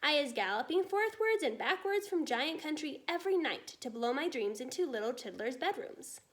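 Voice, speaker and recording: A woman voicing a gentle, friendly storybook giant who speaks in muddled grammar and invented words. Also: high voice, sing-song, moderate pace. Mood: earnest.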